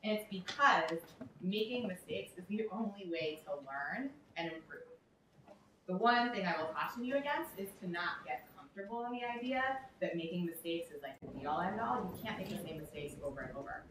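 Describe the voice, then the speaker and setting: A woman's voice: on the low side, animated, fairly quick, background noise. A young woman giving an upbeat motivational workshop talk, with frequent "um" and "like". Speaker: workshop speaker. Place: large echoey event room. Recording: echoey room, speaker far from mic.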